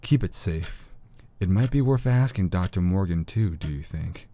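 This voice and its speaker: An actor playing a grave, learned older doctor of the occult in an audio horror drama, speaking in a measured, formal way. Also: male voice, deep voice, expressive, warm tone, no room sound. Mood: cautious.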